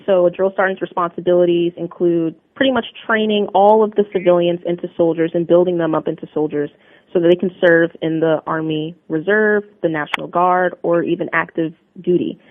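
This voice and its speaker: A woman's voice: on the low side, fairly even intonation, fairly quick, slight background noise. A young woman from New Jersey, a guest on a radio interview, answering in an upbeat, earnest and polished way. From New Jersey, USA. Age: young adult. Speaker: interview guest, Army Reserve drill sergeant. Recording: narrow phone-line sound, dry.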